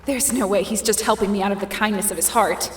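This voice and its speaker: A woman's voice: medium pitch, fairly even intonation, fast talker, close to an everyday voice. Woman doing teen girl thinking to herself, wary n suspicious, audio drama, big echoey reverb, bit of noise behind. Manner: suspicious, pondering. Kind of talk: audio drama inner monologue.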